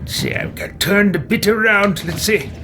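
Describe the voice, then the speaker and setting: A man's voice: sits high, measured pace, heavy background noise. A man giving casual video game commentary, thinking aloud as the host of a let's play. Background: loud game music.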